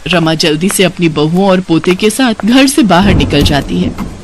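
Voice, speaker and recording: A man's voice: high voice, moderate pace, noisy background. A man narrating a scripted moral story for a cartoon.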